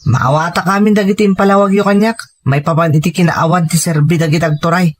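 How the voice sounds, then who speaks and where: A man's voice: fairly high pitch, steady tone, quick pace, slight background noise, natural voice. A man playing a young, idealistic rebel recruit in an audio drama, earnest and respectful in manner. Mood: resolute.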